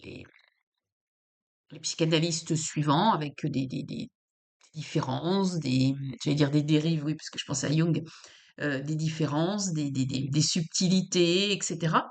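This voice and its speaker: A woman's voice: low voice, gravelly. A woman from France, a psychopractitioner explaining psychology in an informal talk to camera, hesitant with many fillers and repeated words.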